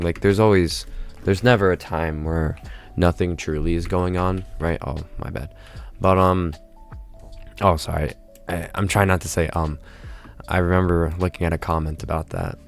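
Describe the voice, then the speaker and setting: Congested low-voiced man musing aloud. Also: steady tone, normal speed, soft background music. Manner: rambling, tags nearly every phrase with "right?". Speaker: vlogger. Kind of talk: casual reflective monologue.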